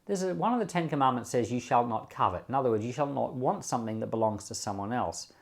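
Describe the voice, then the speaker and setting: A man's voice: mid-range voice, animated, quick pace, vocal fry. Earnest man teaching from the Bible. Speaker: pastor hosting a Bible podcast. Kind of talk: informal Bible commentary, teaching.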